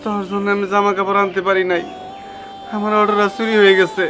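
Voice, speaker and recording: A man's voice: high-pitched, flat delivery, fast talker, pushed and bright, a little hiss or hum. Man playing a despairing poor father. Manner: pleading, despairing. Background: background music.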